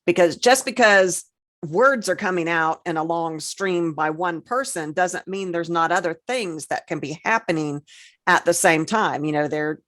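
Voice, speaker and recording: A woman's voice: lowish voice, unhurried, fairly bright tone. A woman co-hosting a podcast, giving casual conversational advice with plain examples and an agreeable manner. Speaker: podcast co-host.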